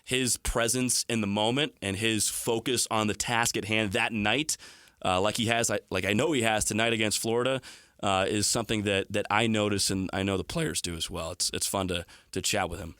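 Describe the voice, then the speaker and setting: A man, a play-by-play announcer, chatting casually as an interview guest with measured phrasing. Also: lowish voice, steady tone, a bit bright, no echo, studio quality. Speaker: hockey radio play-by-play announcer being interviewed.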